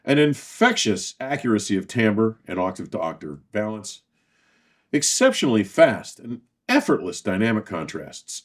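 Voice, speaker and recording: A man hosting a scripted product review, speaking formally in measured, emphatic phrases with deliberate pauses. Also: lowish voice. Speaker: host and audio equipment reviewer.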